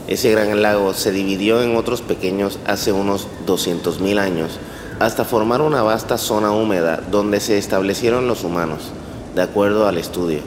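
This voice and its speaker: A man narrating a scripted documentary-style report as a narrator. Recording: echoey reverberant clip with rumbly noise.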